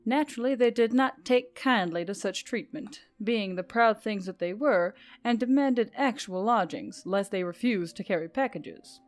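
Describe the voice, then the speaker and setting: A woman's voice: mid-range voice, lively intonation, normal speed, few pauses. Woman narrating fiction over music. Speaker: audiobook narrator.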